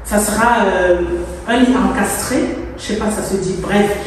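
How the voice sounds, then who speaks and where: A woman's voice: mid-range voice, steady tone, normal speed. A woman from Cameroon, a native English speaker with a Cameroonian accent, vlogging informally and thinking aloud as she works in an empty room, sometimes slipping in English words. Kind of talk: casual vlog chat while working. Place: empty freshly plastered room indoors. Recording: very echoey empty room, faint noise, distant mic.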